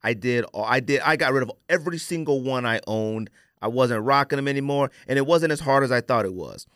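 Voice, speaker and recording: A man's voice: medium pitch, a little fast, fairly bright tone, no room sound, studio quality. An American man hosting a casual podcast, giving opinions in an emphatic, slangy way and repeating phrases for emphasis. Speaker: podcast host.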